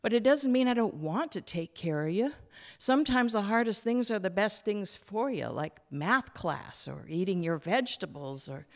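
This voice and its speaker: Woman reading as gruff, tender grandfather, folksy. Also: medium pitch, voice pushed up, lightly acted. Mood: tender, earnest. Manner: folksy, plain-spoken, confiding. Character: gruff, tender grandfather in his late sixties. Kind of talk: acted literary reading.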